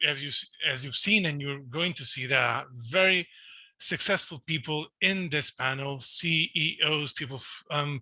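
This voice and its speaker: Man with a Portuguese accent, earnest online talk, very bright tone, dry close sound. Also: on the high side, no room sound, low-quality recording. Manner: earnest, self-questioning, frequent restarts and fillers. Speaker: researcher giving a congress talk. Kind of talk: recorded online conference talk.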